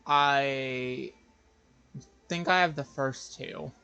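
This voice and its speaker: A man's voice: mid-range voice, extremely slow, gravelly, bright, forceful tone, smooth, muffled recording. A man giving casual, joking live commentary while streaming a video game.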